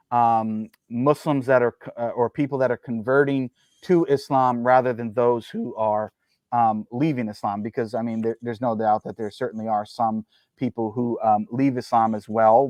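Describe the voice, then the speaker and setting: A man's voice: mid-range voice, a little mellow, no echo. A man from New Jersey talking as an interview guest, explaining his views carefully with many ums and uhs and careful qualifications.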